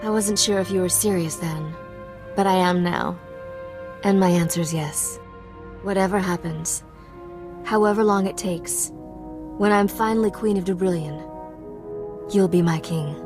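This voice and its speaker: Sly teasing smuggler girl with royal blood, lowish voice, sarcastic, video game dialogue. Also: measured pace, pauses often, over music. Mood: playful, sincere. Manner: wry, teasing, self-assured. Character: sly sarcastic young woman smuggler, mechanic and royal heir.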